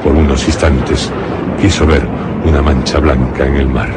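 A man's voice: extremely low pitch, fairly even intonation, moderate pace, heavy background noise. A man from narrating a scripted radio horror and suspense drama in a solemn, dramatic storytelling manner. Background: music.

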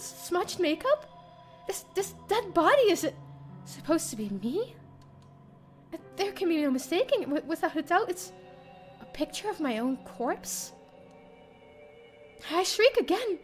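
A young woman streamer reading a visual novel aloud in the first person, expressive and theatrical. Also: very high voice. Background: game music.

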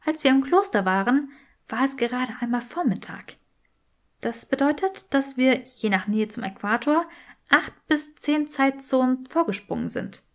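A woman's voice: high-pitched, lively intonation, moderate pace, pitched above the performer's own voice. A woman voicing a clever, earnest young witch with teasing wit in an audiobook, matter-of-fact in manner. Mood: thoughtful.

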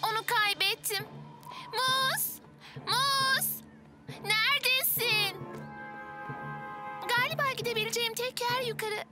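Cartoon young girl: eager, worrier, very high, unhurried. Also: fairly even intonation, music in the background. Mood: worried. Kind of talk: dubbed children's cartoon acting.

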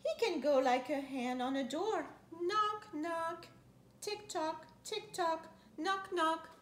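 A woman's voice: extremely high pitch, takes it slowly. A woman in her thirties reading a children's picture book aloud expressively, drawing out words and playfully imitating noises. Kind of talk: animated picture-book read-aloud with playful sound effects. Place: living room couch, indoors. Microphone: camera a few feet away. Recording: roomy, echoey sound with faint noise.

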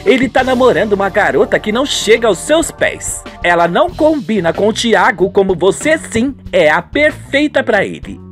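A woman's voice: mid-range voice, very animated, normal speed, hardly any pauses, faint music behind, natural voice. A woman playing a gushing, conniving lady of a wealthy house in an amateur Brazilian radio soap.

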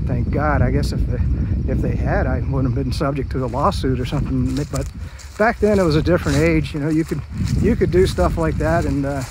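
A man's voice: a little high, noisy background. A middle-aged man talking casually while he walks a city park trail and films on a handheld phone, folksy and rambling with many fillers. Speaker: park walk-through vlogger. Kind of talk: casual walking commentary. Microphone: handheld phone camera.

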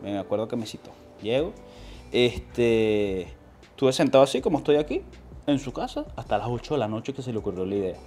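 A man's voice: medium pitch, sing-song, brisk, a little mellow, soft background music. Young Venezuelan man telling stories. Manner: slangy, storytelling with quoted voices, frequent restarts. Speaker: guest. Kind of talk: casual interview. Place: living room, on a sofa. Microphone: lapel mic.

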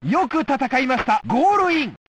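A man playing an excitable 1960s sports announcer, calling events in a formal, dramatic, declamatory broadcast style for a video game. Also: extremely high pitch, moderate pace, slight background noise, heavily acted. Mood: thrilled, shouting. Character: excitable male 1964 Olympic TV and radio sports announcer. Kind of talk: retro 1960s Japanese sports broadcast commentary.

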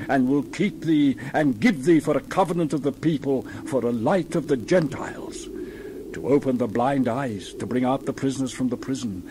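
A man's voice: mid-range voice, background noise. A man giving a dramatized Bible reading as narrator, solemn and declamatory with dramatic emphasis.

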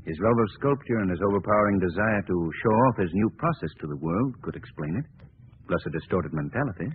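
A man's voice: low voice, expressive, fast talker. A man playing a suave, commanding crime-fighting hero in assured 1940s radio acting. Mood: wry, relaxed. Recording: tinny, noisy 1940s radio broadcast.

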